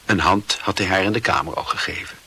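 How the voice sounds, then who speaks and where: A man's voice: low voice, fairly quick, bright, forceful tone, husky. A man reading scripted literary narration as the narrator of a radio play.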